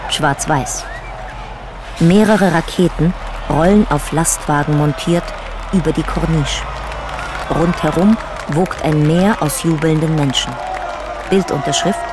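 An actor playing a detached reporter reading photo captions in a deliberate, clipped way for a radio play. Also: male voice, fairly high pitch, very noisy, close to an everyday voice. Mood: matter-of-fact. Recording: loud music and cheering crowd behind.

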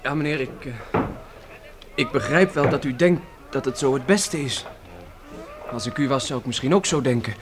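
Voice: mid-range voice, background noise, close to an everyday voice. An actor as an earnest orphaned boy. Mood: earnest, halting. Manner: emotional, earnest, halting. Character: orphaned boy John, eldest child, brave and grieving. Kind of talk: Dutch radio play acting.

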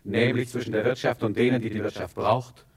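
An actor playing an officious, long-winded careers adviser in a satirical radio play, speechifying in halting, repetitive phrases. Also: male voice, mid-range voice, fairly even intonation, breathy edge, lightly acted. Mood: pompous.

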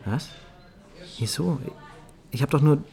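A man acting a flustered, defensive hacker and programmer in a German radio drama, stammering and protesting excitably. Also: on the high side, takes it slowly, vocal fry. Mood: agitated, indignant. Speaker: actor in a radio play.

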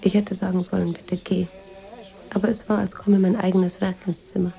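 Gravelly, confiding actress as a troubled woman writer. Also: mid-range voice, little pitch movement, dark tone, close to an everyday voice. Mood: confiding, uneasy. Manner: measured, confiding, literary. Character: troubled, introspective American woman writer in Tangier. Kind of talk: radio drama monologue.

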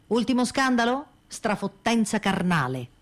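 Theatrical woman, declaiming with measured poise. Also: fairly high pitch, very animated. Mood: solemn recital. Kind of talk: scripted radio theatre reading.

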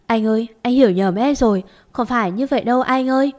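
A woman's voice: a little high, fast talker, darkish tone, close to an everyday voice. Woman voicing a begging third wife. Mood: pleading. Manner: pleading, begging. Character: desperate young third wife, pleading.

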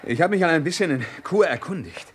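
A man's voice: fairly high pitch, lively intonation, brisk, slight background noise, natural voice. A man playing a shrewd, commanding police inspector in a German radio crime drama, questioning with dry, sardonic authority. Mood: dryly mocking. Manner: probing, authoritative, dryly mocking.

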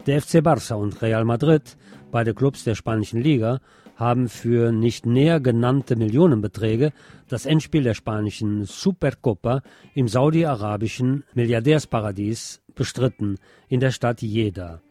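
Mellow-voiced man reading radio news. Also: medium pitch, moderate pace, no echo.

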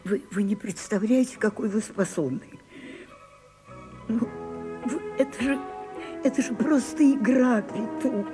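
An actress playing an aging, imperious stage star in a radio play, with grand, theatrical, coaxing delivery. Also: female voice, a little high, measured pace, pauses often, music in the background, lightly acted. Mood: coaxing, insistent. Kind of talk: radio drama acting.

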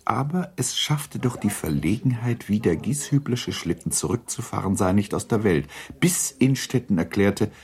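Very deep, gravelly male narrator. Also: darkish tone. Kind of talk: scripted literary narration of a radio play.

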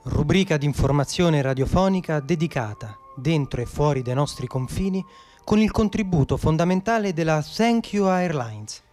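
Man playing a higher-voiced earnest radio co-announcer. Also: animated, moderate pace, a little mellow, faint music behind, lightly acted. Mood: formal announcing. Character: mock-earnest male co-announcer of a satirical army radio programme. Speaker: radio co-presenter. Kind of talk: scripted satirical radio drama announcing.

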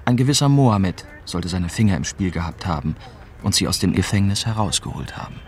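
A young newspaper correspondent in a radio drama, recounting a dangerous investigation. His voice is low, and his telling is sober and literary, in the first person. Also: expressive, fairly quick, a little mellow. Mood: matter-of-fact. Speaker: narrator.

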